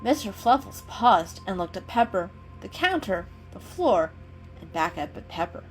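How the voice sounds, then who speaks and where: A woman's voice: mid-range voice, big pitch swings, moderate pace, faint music behind, low-quality recording. A woman reading a children's story aloud as dramatic narration, in a storyteller's style.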